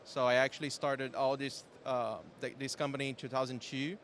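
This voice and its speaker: A man from Brazil with a Brazilian accent, a company founder speaking haltingly with many restarts at a trade show stand. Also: medium pitch, flat delivery, fairly bright tone, slight background noise. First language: Portuguese. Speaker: company founder and software director.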